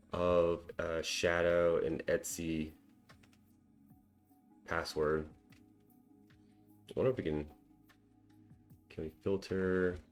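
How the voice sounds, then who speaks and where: A man with a deep, low voice talks casually through a screen-recorded tutorial, thinking out loud and full of ums and quick chuckles. Faint music plays softly behind him. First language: English. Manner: chatty and self-deprecating, lots of ums and small laughs. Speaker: cybersecurity tutorial host.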